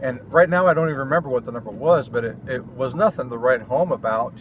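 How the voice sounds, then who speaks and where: A man talking casually and explanatorily in a vlog recorded inside a car, in short phrases with pauses. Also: medium pitch, rough recording. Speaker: vlogger and amateur radio operator. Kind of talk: casual vlog talk to camera. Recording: clear road and vehicle noise. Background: car and outdoor ambience noise.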